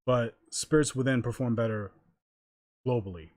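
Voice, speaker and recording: A man hosting a casual group discussion, reading out details in a measured way. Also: mid-range voice, darkish tone, no room sound, clean studio sound. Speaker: podcast host.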